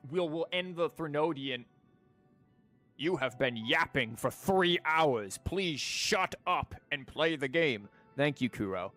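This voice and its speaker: A man's voice: mid-range voice, a bit bright. A man livestreaming a game with casual, rambling commentary and a sarcastic, wry manner.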